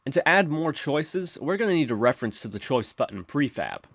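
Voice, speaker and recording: A man narrating a software tutorial in an instructional, step-by-step manner. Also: on the high side, big pitch swings, brisk, vocal fry, no room sound, clean studio sound. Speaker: tutorial presenter.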